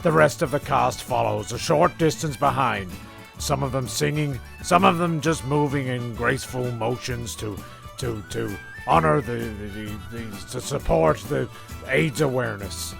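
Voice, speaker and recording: A man's voice: medium pitch, moderate pace, vocal fry, over music. A man narrating an amateur comedy audio drama, rambling and stumbling over his words.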